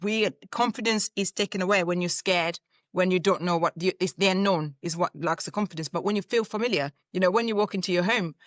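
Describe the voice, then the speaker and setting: A woman from Zambia talks through her ideas as a podcast guest. Her voice sits fairly low, recorded close and dry, and she explains with homely everyday examples. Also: a little fast, dry recording. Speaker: guest, leadership coach. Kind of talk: conversational interview answers.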